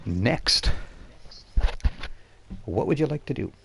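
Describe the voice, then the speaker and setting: A man's voice: medium pitch, animated, slow pace, vocal fry, fairly bright tone, slight background noise. A man running a tabletop game as game master, improvising narration and explaining rules in an informal way.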